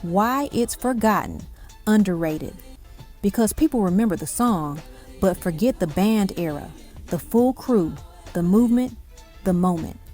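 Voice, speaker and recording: A woman's voice: medium pitch, lively intonation, unhurried, warm tone. A woman reading scripted music countdown narration, telling it like an evocative story in short dramatic phrases.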